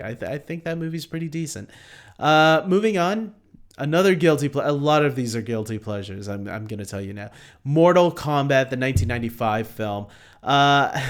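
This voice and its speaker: A man from Canada chats casually through a movie ranking on his own channel. His voice is smooth and clear at a medium pitch, and he fills his talk with "uh"s, restarts and quick laughs. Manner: chatty, lots of fillers and restarts, easy laughs. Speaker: movie review vlogger.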